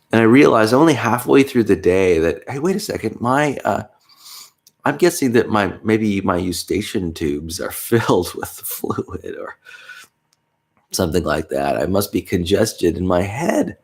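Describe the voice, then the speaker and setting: A man vlogging in a rambling, casual way, congested with a stuffy nose, with many fillers and small chuckles. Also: low voice.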